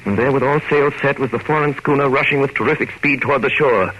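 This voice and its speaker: An actor playing a sober doctor who narrates evidence formally and dramatically in 1930s radio drama style. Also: male voice, medium pitch, fast, fairly bright tone, lightly acted. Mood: grave, urgent. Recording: dull, faintly noisy old radio recording.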